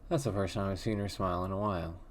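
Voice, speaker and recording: Deep-voiced man reading a horror game. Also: fairly even intonation, fast talker, slight background noise. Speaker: let's play host.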